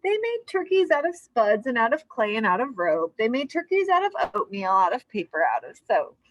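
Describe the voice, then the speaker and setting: A woman storyteller reads to children in a fairly high voice. Her delivery is expressive and animated, rising and falling a lot, and the recording is dry and close. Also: moderate pace, no echo, muffled recording. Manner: expressive, addresses young listeners with asides. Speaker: storyteller reading aloud to children. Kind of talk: animated children's read-aloud.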